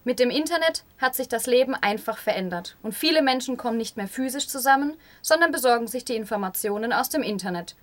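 Female reader for a translated radio interview, pitched a little high. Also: animated, quick pace, hardly any pauses, fairly bright tone.

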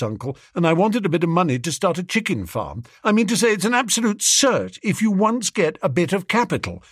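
Guy doing a hapless young posh english lad, flustered, babbling, high and bouncy. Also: dry recording, clean studio sound. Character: hapless, eager young English upper-class nephew.

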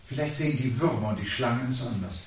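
An actor playing a brooding, articulate man who calmly awaits the world's end in a radio play, speaking in a measured, formal, sardonic way. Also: medium pitch, lightly acted. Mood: resigned, brooding. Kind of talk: 1970s German radio play acting. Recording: 1970s radio production with audible background noise.

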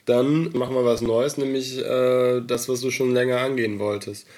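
A man's voice: medium pitch, fairly even intonation, moderate pace, darkish tone. A man giving a friend blunt, crude, laid-back advice in casual chat.

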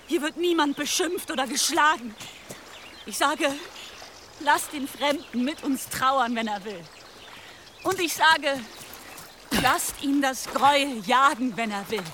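A woman's voice: high-pitched, unhurried, long pauses, sharp tone. An actress playing a grieving village mother in a radio drama, firm and commanding. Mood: angry, commanding. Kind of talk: German radio drama acting. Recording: outdoor rural ambience noise.

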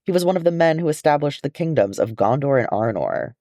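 A man's voice: fairly high pitch, lively intonation, fairly quick, dark tone, no echo, pitched above the performer's own voice. A man acts out a snappish young male dwarf scholar in a storybook reading. He is scornful and impatient, with swooping intonation and a dry, close sound.

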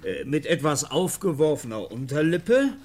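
An actor playing a brusque, officious police officer in a German radio play, gruff and full of hesitant "äh" when dictating. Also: male voice, a little high, sing-song, normal speed, darkish tone. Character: brusque, officious 19th-century police officer leading an interrogation. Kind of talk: 1950 German radio drama acting. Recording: vintage 1950 radio play recording.

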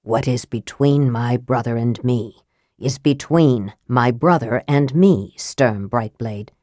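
A frail, bitter young male mage, cool and sibilant, talking down to everyone with a peevish edge, as a woman reads him in a low, dry audiobook voice. The tone stays controlled and cutting, never loud. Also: slow pace, no echo, heavily acted. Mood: cold, cutting. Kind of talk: audiobook character voice.

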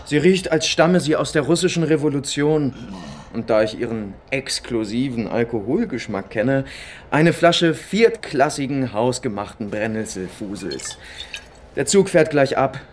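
Actor as a smug, spiteful Soviet officer. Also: male voice, medium pitch, expressive, measured pace, a little hiss or hum, close to an everyday voice. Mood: smug, taunting. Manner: mock-polite, gloating, sarcastic. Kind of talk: German hardboiled radio crime drama acting.

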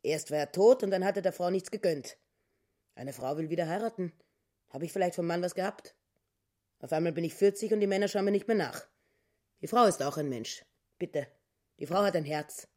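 A woman's voice: fairly low pitch, fairly quick, long pauses, a little mellow, close to an everyday voice. An actress playing a stubborn, grasping, self-pitying wife in her fifties in a radio play, nagging in sententious set phrases. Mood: aggrieved, self-pitying. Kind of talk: German radio play acting.

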